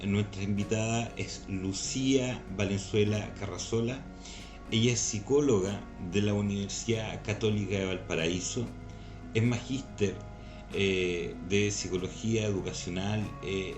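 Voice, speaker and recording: A man's voice: fairly low pitch, steady tone, a little slow. A man with a Chilean accent hosting an interview in a semi-formal, somewhat hesitant way, often adding tag questions. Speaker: interview host. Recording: echoey room, music behind.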